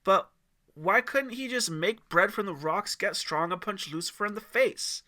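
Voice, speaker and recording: Man as young campfire boy, audiobook, high pitch, blunt, excitable, bright forceful tone. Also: moderate pace. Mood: curious, excited. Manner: excitable, cheeky, blurting.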